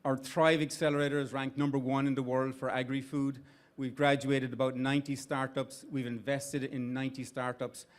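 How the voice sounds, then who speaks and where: A man's voice: mid-range voice, little pitch movement, normal speed. A middle-aged man with an Irish accent, giving conversational opening remarks at a live event, with restarts and fillers. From Ireland. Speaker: CEO of an investment firm giving opening remarks. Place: event venue with an audience.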